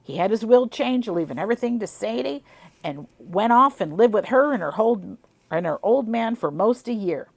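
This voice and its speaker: A woman's voice: on the high side, expressive, moderate pace, vocal fry, no echo. A woman voicing a grumbling old rural man with a put-on Southern twang, telling a family story in a folksy drawl. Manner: folksy drawl, dropped endings.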